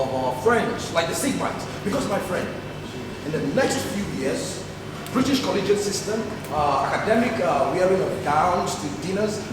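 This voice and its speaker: An actor with a Nigerian accent playing a fiery, idealistic young student activist on stage, declaiming like an orator. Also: male voice, sits high. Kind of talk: projected stage play acting. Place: large theatre hall, recorded from far off. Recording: echoey hall stage play, distant mic, noisy.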